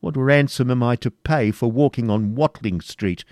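A man's voice: mid-range voice, normal speed, a little mellow, no echo, studio quality, natural voice. A man voicing a pompous, indignant medieval prior who blusters scornfully and stammers when outraged. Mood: aggrieved.

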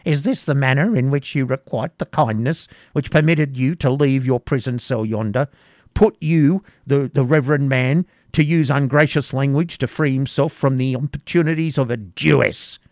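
A man's voice: mid-range voice, moderate pace, darkish tone, no echo, studio quality, voice pushed up, lightly acted. A man voicing a harsh, scolding old crone who guards a castle turret, reading a classic novel aloud. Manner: scolding, commanding.

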